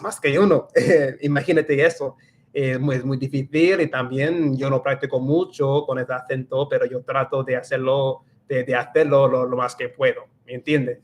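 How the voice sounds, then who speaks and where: Rambling young man on a livestream. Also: mid-range voice. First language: English. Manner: rambling, self-correcting, many restarts and fillers. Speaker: livestream host answering viewer questions. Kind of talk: casual livestream chat.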